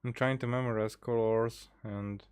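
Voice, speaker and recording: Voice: mid-range voice, fairly even intonation, normal speed, darkish tone, clean tone. A streamer giving casual, offhand commentary in muttered asides while playing a video game.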